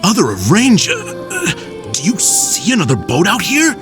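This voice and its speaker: An excitable male runaway sidekick from a fantasy game. His fairly high voice leaps wildly up and down with irreverent, colloquial expressiveness. Music sits clearly underneath. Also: unhurried, full character voice. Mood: anxious, alarmed. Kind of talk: dramatic video game voice acting.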